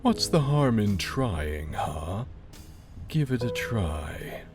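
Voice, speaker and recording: Very deep male voice played by an actor, very slow, sinister smug wish-granter, coaxing, audio drama, music behind. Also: sing-song, heavily acted. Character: sinister, smug casino man who grants wishes. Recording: music under the voice.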